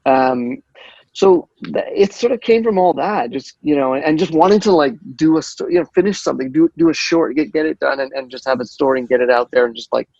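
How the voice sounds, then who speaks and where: A man with a gravelly voice talks about his short film, stopping and restarting often. The sound is dry and muffled, like a lo-fi video call. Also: on the high side, darkish tone, no room sound. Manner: halting, many repeats and fillers. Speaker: short filmmaker. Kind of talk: casual interview answer.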